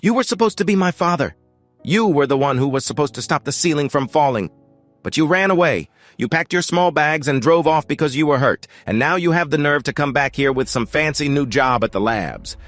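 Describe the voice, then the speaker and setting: Guy doing an angry daughter yelling at her dad, voice pushed up high, swings all over, dry close sound. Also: animated, brisk, no echo, faint music behind. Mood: bitter, accusing. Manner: shouting, accusing, higher pitched. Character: furious young adult woman, bitter daughter.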